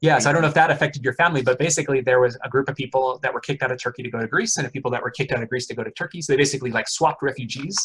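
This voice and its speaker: Husky-voiced middle-aged male professor. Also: medium pitch, racing, hardly any pauses, a bit bright. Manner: friendly, quizzes the audience, many fillers like uh and okay. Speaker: economics professor giving a guest talk. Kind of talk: casual classroom lecture.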